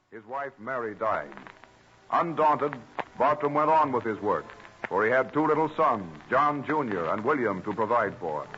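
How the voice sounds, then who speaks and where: A man narrating an old radio drama from a script, in a measured, formal storytelling manner. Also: fairly high pitch, bright, forceful tone. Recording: dull old radio recording, faint music.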